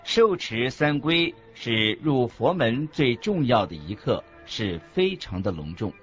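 Earnest, animated Buddhist master. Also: male voice, mid-range voice. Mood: earnest, instructive. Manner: didactic, measured. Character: earnest male Buddhist master teaching the faith. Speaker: Buddhist teacher in a radio lecture drama. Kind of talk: scripted radio drama dialogue, expository. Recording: dull lo-fi audio with background music. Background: music.

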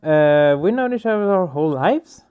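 A man's voice: fairly high pitch, animated, normal speed, clear, resonant tone, narrow, tinny audio. A man giving casual let's play commentary, thinking aloud in a hesitant way. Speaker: let's player. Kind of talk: casual game playthrough commentary. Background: soft game music.

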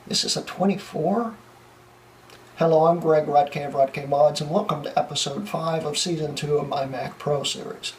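A young man hosting a tech vlog, stumbling through retakes, laughing at his mistakes and losing his voice. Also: fairly high pitch. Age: young adult. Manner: flustered, laughing at his own slip-ups. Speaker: tech channel host. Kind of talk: blooper reel of a tech vlog. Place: small home room full of computers. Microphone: camera mic from a few feet away. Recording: distant camera mic, faint room hiss.

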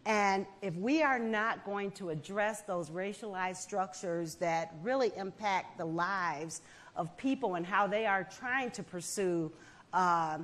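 Woman, fifties, measured earnest guest, festival stage, lapel mic. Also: mid-range voice, fairly bright tone. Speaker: foundation president, guest. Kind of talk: onstage festival conversation.

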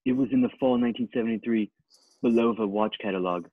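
A man playing a dim, earnest male fashion model with a husky voice in a comedy table read. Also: on the low side, quick pace, dry recording, natural voice. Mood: awed recognition. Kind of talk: amateur table read of a comedy film script. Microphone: video call. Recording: muffled tinny video-call line.

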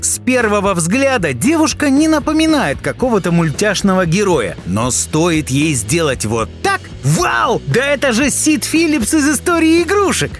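A man's voice: high-pitched, sing-song, normal speed, hardly any pauses. A man reading scripted narration for an entertainment video, playful and exclamatory, speaking straight to the viewer. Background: background music.

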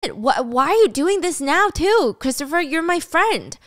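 Hot-headed young guy in a story retelling, voiced by a woman: pitched up high, indignant, insistent, defensive. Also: expressive, normal speed, pushed and bright. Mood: defensive, hurt. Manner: heated, swearing, insistent.

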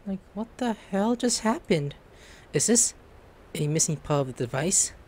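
A man giving casual gameplay commentary as a video host, excitable, reactive and prone to swearing. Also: high-pitched, normal speed. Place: small room indoors at a computer. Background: faint game music.

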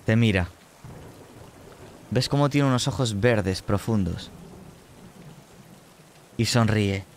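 A man narrating a tabletop horror game as game master, measured and descriptive. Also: fairly low pitch, a little hiss or hum.

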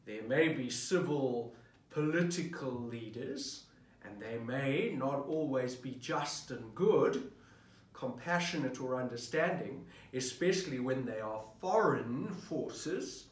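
Slow, reflective priest in a roomy office. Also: male voice, mid-range voice, lively intonation. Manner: reflective, posing questions to the listener. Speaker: parish priest. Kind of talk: sermon preparation talk. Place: office at a desk, indoors. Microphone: camera at desk distance. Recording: roomy, mic at a distance.

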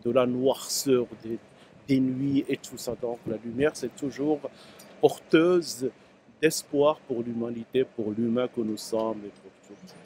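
Middle-aged man musing haltingly on faith. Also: medium pitch, warm tone, a little hiss or hum, poor audio. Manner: reflective, halting with repeated words. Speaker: passerby interviewee. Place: city street at night, outdoors.

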